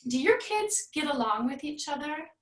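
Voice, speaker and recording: A woman's voice: sits high, expressive, normal speed, fairly bright tone. Woman interviewer in an echoey room. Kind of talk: casual conversational interview.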